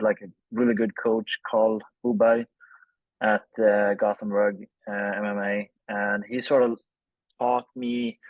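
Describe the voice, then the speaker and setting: A man, telling his story casually and haltingly as an interview guest, with informal language. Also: fairly low pitch, no echo, narrow, tinny audio. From Sweden. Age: 30s. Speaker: interview guest, film director.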